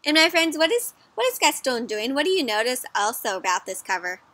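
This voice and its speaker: A young woman with a high, bright voice hosts a read-aloud for kids. She speaks expressively, pitching everything to small children and inviting them in with questions. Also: very animated, a little fast, no echo. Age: young adult. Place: outdoors in a garden or patio.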